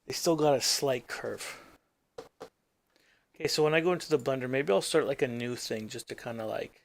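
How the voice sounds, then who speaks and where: A man's voice: mid-range voice, moderate pace, creaky, slight background noise. A man hosting his own channel, thinking aloud as he works on his screen, rambling and trailing off into mumbles. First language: English. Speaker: gaming channel host. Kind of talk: casual screen-recording commentary.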